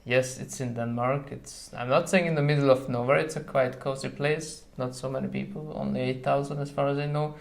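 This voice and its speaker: A man's voice: mid-range voice, fairly even intonation, a little fast, gravelly, hardly any pauses. A man from Hungary with a Hungarian accent, chatting casually as an interview guest in a somewhat hesitant way. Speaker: podcast guest.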